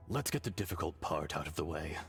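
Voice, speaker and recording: Ancient dragon, game role: low, unhurried, breathy and grave, world-weary. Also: male voice, heavily acted. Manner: grave, measured, brooding. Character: ancient dragon in a man's body, solemn and weary. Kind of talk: video game voice acting. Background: music behind the voice.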